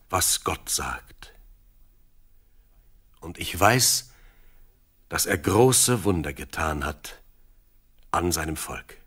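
A man's voice: low-pitched, fairly bright tone, breathy edge, exaggerated voice. An actor playing a devout, ceremonious king in a biblical audio drama, with stately, declamatory delivery.

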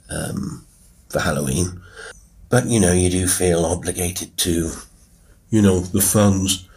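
A British man hosting a solo podcast, talking informally in a rambling, wry, self-deprecating way with a husky voice. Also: low voice, darkish tone, rough recording. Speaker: horror podcast host and writer. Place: quiet room with a piano at work. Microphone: wireless Bluetooth mic into a phone.

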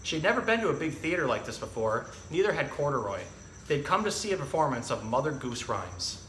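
A man in his thirties with a bright, forward voice at an average pitch presents to children in a casual, plain-spoken way. He explains and reads as a zookeeper host would. The room is echoey, with faint noise behind him. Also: sing-song, brisk. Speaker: zookeeper presenting an animal storytime. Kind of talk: casual educational talk and picture-book reading for children. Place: indoor room with hard walls and tiled floor.

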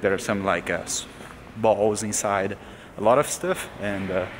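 A man's voice: on the low side, a little slow, slight background noise. A young man narrating a casual travel vlog to a camera held at arm's length, in loose, offhand phrasing. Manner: loose non-native phrasing, relaxed commentary. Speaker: vlogger. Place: outdoors and in an empty concrete building.

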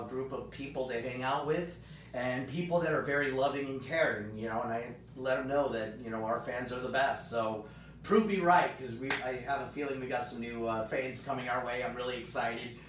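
Hoarse man, roomy and off-mic. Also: recorded from a distance. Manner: upbeat, rambling, many fillers. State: hoarse, voice partly gone. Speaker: host of a live comic shop stream. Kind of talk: casual live-stream chat. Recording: roomy, off-mic, faint rumble.

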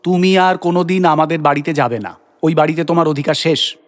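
An actor performs an exasperated husband in a comic audio play. He sounds incredulous and grumbling, though soft-hearted underneath. He sputters, repeating words as indignant questions. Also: fairly high pitch, fairly quick, lightly acted. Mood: angry. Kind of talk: comic audio drama acting.

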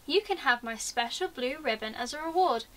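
A woman voicing a kindly, proud father sheepdog in a storybook, gentle and instructive. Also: high voice, animated, moderate pace.

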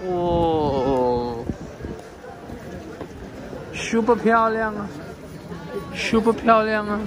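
A Singaporean man with a high voice films his walk through a city. He talks very slowly, in casual exclamations that he likes to repeat. Clear outdoor city noise surrounds him. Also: fairly even intonation. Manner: exclamatory, repeats phrases, switches between English and Mandarin. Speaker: travel vlogger. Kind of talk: casual walk-along travel commentary. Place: busy city streets, squares and arcade at night. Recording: noisy outdoor city ambience.

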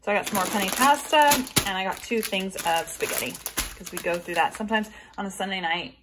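A woman's voice: mid-range voice, a little fast, noisy background. A woman in her thirties chatting casually through a grocery haul while handling items in her kitchen. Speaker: vlogger. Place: home kitchen.

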